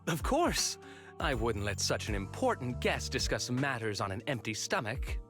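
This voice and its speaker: A man's voice: mid-range voice, big pitch swings, normal speed, lightly acted. Guy doing a smarmy eager banquet host, voice bounces all over, music under. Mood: fawning. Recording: game audio with music behind.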